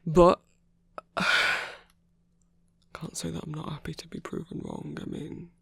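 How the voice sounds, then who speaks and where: A man playing a gruff but tender werewolf boyfriend in an intimate ASMR roleplay, rambling in halting, sweary, hushed phrases with a husky, gravelly voice. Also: a little high, wildly swooping pitch, dry recording, full character voice. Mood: earnest, hesitant.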